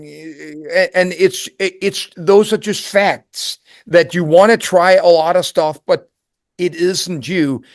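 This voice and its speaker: An older man with a Danish accent, speaking in a fairly high voice for a man. He talks reflectively, often restarting and repeating words as he searches for phrasing. The recording is dry and close. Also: clear, resonant tone, no room sound. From Denmark. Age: 60s. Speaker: interview guest, singer. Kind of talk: reflective conversational interview.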